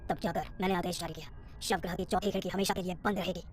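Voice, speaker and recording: A woman voicing a solemn, authoritative older male hospital dean who explains gravely in an animated horror story. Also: on the low side, fast talker, faint music behind, voice pushed up, natural voice.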